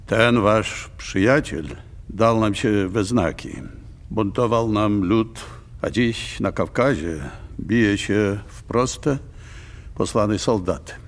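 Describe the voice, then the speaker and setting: Low, slow, terse military man. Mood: grave, terse. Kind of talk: theatrical verse radio drama.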